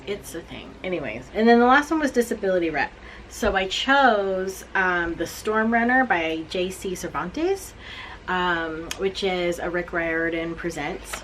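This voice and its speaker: A woman in her forties recapping books she has read to camera, blunt, animated and self-mocking. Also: lowish voice, slight background noise, low-quality recording. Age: 40s. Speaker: book reviewer. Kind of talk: casual book recap to camera.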